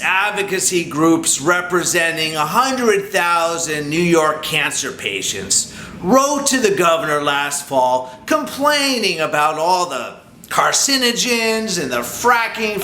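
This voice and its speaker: A man's voice: sits high, pushed and bright, a little hiss or hum, heavily acted. A man voicing a mock-earnest, sarcastic male interviewer puppet in a satirical puppet show. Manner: mock-earnest, ironic praise. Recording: echoey room, mic far off.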